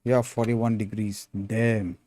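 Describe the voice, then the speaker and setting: Laid-back Indian man streaming, warm tone. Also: on the low side, level tone, takes it slowly. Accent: Indian English. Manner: laid-back, calls viewers bro, reads chat aloud. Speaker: sculpting streamer. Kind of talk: casual livestream chat.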